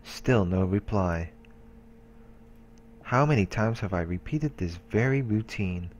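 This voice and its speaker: A man's voice: fairly low pitch, animated, slow, slight background noise. A man hosting a let's play, reading game narration aloud with occasional casual asides.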